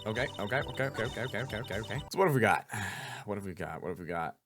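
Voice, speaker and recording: An animated man commentates casually on a game he is playing. His pitch swings around a lot as he mutters and repeats his thoughts, with music playing behind him. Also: rapid-fire. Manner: animated, thinks aloud, repeats himself. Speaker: voice actor host of a game playthrough. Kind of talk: casual commentary and reading game dialogue aloud.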